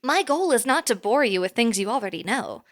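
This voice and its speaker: A woman's voice: on the high side, animated, fairly quick. A woman playing a poised female boss in an audio drama, speaking formally and precisely as she earnestly interviews an applicant. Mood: businesslike. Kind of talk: audio drama acting, conversational.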